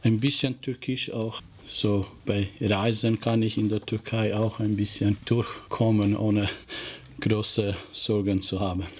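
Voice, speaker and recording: Slow, flat-voiced man, native Albanian speaker. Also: lowish voice, a little hiss or hum. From former Yugoslavia. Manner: halting, searches for words and restarts sentences. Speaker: teacher being interviewed. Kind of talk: interview answers.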